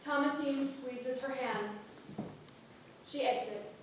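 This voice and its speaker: High-pitched woman narrator, very echoey hall. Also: takes it slowly. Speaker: narrator reading stage directions. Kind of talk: scripted stage narration. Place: theatre stage, recorded from the audience. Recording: echoey hall, distant mic, faint noise.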